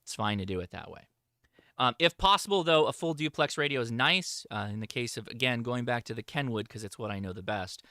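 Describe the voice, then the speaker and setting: A man's voice: on the low side, sharp tone, dry recording, studio quality. A man presenting a hobby lesson in a casual, explanatory way, informally stressing the key points as he goes. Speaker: presenter of an amateur radio show. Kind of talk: casual live slideshow lesson.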